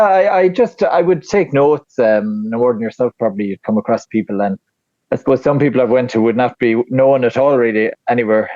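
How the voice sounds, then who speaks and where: A man with a flat Tipperary Irish accent, chatting casually as a podcast guest, rambling with lots of fillers and restarts. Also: mid-range voice, dry recording, highs cut off. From Ireland. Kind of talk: casual interview chat.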